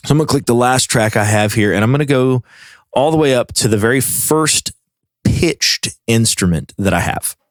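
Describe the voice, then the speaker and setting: A man presenting a software tutorial in a casual, informal way, explaining things step by step. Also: mid-range voice, normal speed, no room sound, studio quality.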